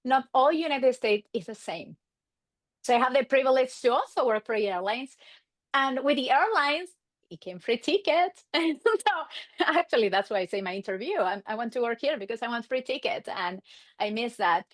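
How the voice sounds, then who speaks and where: A middle-aged woman with an Argentine Spanish accent talks as an interview guest. Her voice sits high and swings widely in pitch as she casually tells stories and voices bits of dialogue. The sound is close and dry. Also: normal speed, dry recording. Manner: animated, acts out quoted exchanges. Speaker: guest, marriage and family therapist.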